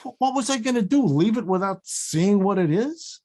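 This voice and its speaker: A man's voice: sits high, animated, normal speed, dark tone. An actor playing a grumpy, defensive older man in a comedy table read, speaking in a blunt, grumbling, sweary manner. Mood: defensive, exasperated.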